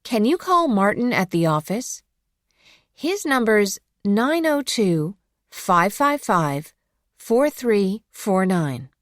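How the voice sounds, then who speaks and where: Slow, lilting woman reading lesson phrases. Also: mid-range voice, big pitch swings, no room sound, clean studio sound. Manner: clear, careful enunciation. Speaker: English course voice artist. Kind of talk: scripted example phone messages read slowly for learners.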